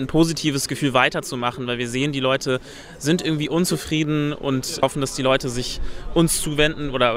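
A man's voice: mid-range voice, fairly bright tone. A man answering spontaneously in a street interview, searching for his words as he goes. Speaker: interviewed local resident.

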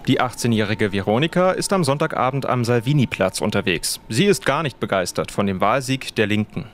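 A man's voice: medium pitch, animated, a little fast, slight background noise. A man narrating a scripted radio street report as a reporter.

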